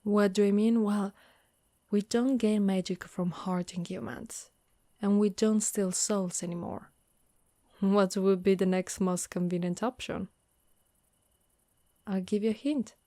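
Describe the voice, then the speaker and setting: A woman voicing a gentle, protective undead warrior woman in an ASMR monster roleplay, speaking softly and reassuringly close to the mic. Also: medium pitch, fairly even intonation, a little slow, long pauses, dry recording, close to an everyday voice. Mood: earnest, confiding. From Italy. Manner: soft, caring, reassuring.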